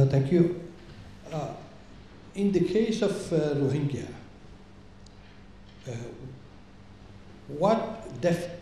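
Halting, mellow man in an echoey hall. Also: on the high side. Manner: halting, searching for words. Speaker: audience questioner. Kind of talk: question from the floor. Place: lecture hall audience seats. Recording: very echoey hall, faint noise.

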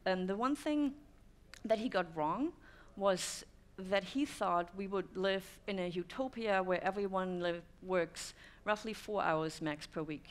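A woman's voice: mid-range voice, unhurried, clean tone. A German woman in her thirties giving a conference talk to an audience, thoughtful, with many "um" fillers. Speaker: speaker. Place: dim stone-walled room with audience.

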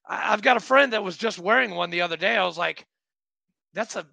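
A man hosting a podcast, chatty and quick to jump in with asides. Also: sits high, creaky, pushed and bright. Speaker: podcast host. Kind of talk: casual interview chat.

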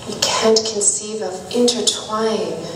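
A woman performing spoken-word poetry, slowly repeating and drawing out her words. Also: mid-range voice, slight background noise. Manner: slow, repeating words, drawn out. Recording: echoey hall recorded from far off.

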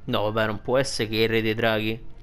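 A man casually commenting on a game playthrough, informal and halting as he reads aloud and thinks out loud. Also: lowish voice, level tone, clear, resonant tone. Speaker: video game streamer. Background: game music.

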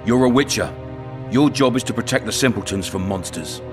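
An actor playing a cunning, blunt and sardonic town commandant in a dark fantasy video game. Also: male voice, medium pitch, brisk, natural voice. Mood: blunt, persuasive. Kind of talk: dramatic video game acting. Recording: music behind the voice.

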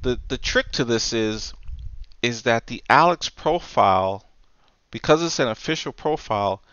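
A man giving a casual software tutorial, explaining things step by step, close on the mic in a voice-over recording. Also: medium pitch, lively intonation, unhurried, clean tone, dry recording, lo-fi sound. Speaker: tutorial presenter.

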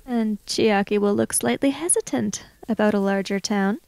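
A woman playing a tabletop roleplaying game, describing her character in a measured, matter-of-fact way. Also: medium pitch, vocal fry, darkish tone, dry recording. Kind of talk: third-person roleplay narration.

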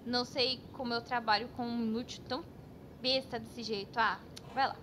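A woman's voice: fairly high pitch, fairly even intonation, moderate pace, a bit bright, a little hiss or hum, natural voice. A woman playing a bossy, exasperated young painter in a cartoon comedy, scolding in a Brazilian accent. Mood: annoyed. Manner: scolding, exclamatory. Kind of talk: cartoon sketch comedy dialogue.

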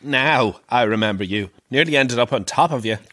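An actor with a Dublin Irish accent playing a sharp-tongued, vain, irritable pantomime dame in an audio drama, camp and exasperated. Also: male voice, medium pitch, moderate pace, no echo. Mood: irritated, dismissive.

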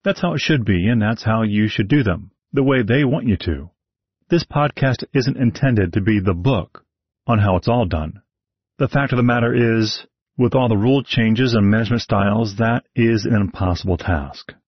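A husky-voiced man with a somewhat low pitch delivers a scripted podcast talk, direct and advice-giving with dry, wry asides. The recording is dull and lo-fi, close and dry with no room sound. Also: lively intonation, normal speed, no echo. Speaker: podcast host, horse show announcer.

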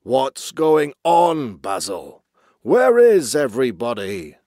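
A man's voice: medium pitch, sing-song, takes it slowly, vocal fry, no echo, studio quality, heavily acted. A man voicing a big, bad-tempered old walrus chief in a storybook, with a grunting, pompous delivery. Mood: peevish.

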